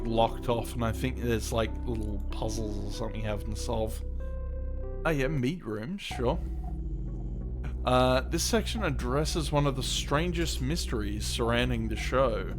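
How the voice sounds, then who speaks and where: A man doing casual, rambling game commentary in an understated, offhand way, sometimes reading text aloud as he plays. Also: mid-range voice. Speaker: livestreamer playing a game. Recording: creepy ambient music behind. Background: creepy ambient game music.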